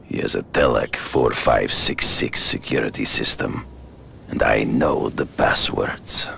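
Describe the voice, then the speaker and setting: A gravelly hunter villain. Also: male voice, bright, forceful tone, slight background noise, heavily acted. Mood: measured. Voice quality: deep gravelly measured voice. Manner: formal, grave, deliberate. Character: proud Russian hunter villain, man, middle-aged, formal and menacing.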